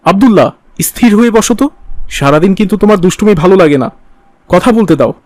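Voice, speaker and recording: A man's voice: high voice, lively intonation, fast talker, darkish tone, no echo. A man playing a stern father who explains religious manners in a cartoon. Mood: mildly scolding. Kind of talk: didactic cartoon dialogue.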